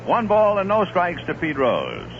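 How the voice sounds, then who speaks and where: A man calling a baseball game on the radio, chatting between pitches and reeling off statistics. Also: measured pace, vocal fry. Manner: lively, conversational, reeling off statistics. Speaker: play-by-play announcer. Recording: muffled 1970s radio broadcast with hiss.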